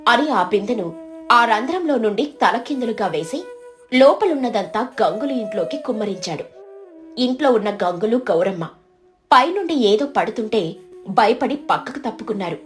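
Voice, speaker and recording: A woman with a high voice narrates a moral tale from a script. Music plays softly behind her storytelling. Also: expressive, moderate pace.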